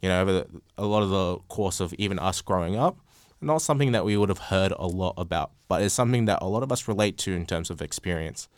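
A young man hosts a podcast panel with a very low voice. He talks in a measured way, leaning on analogies and scattering "uh" fillers as he goes. The sound is dry and close. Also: lively intonation, no room sound. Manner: frequent "uh" fillers. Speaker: podcast host. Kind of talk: casual panel discussion.

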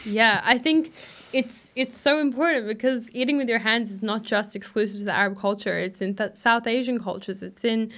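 A woman's voice: on the high side, normal speed, fairly bright tone. A young woman answering interview questions in an earnest, reflective way, with frequent "you know" fillers. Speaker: guest.

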